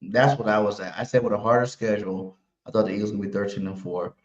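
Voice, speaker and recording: A man from Los Angeles co-hosting a sports podcast, chatting casually in a slangy way with loud, hyped bursts. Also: fairly low pitch, steady tone, fast talker. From Los Angeles, California. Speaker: podcast co-host. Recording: roomy, dull sound.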